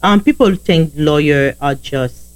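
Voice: mid-range voice, a little slow. A podcast host, talking casually and directly with loose grammar. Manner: heavy accent, broken grammar, enthusiastic and direct.

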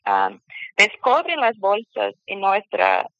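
A woman from Brazil with a Brazilian Portuguese accent, a phone guest speaking halting Spanish as a learner, stopping to search for words. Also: medium pitch, expressive, creaky, a bit bright, dry recording, muffled recording. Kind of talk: phone interview. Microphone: phone line.

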